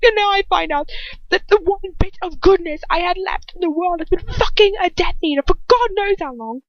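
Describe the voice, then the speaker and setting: A woman's voice: very high-pitched, brisk, no room sound, muffled recording, pitched above the performer's own voice. A woman voicing an anguished, betrayed young man with bitter, pained delivery in a story reading. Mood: furious.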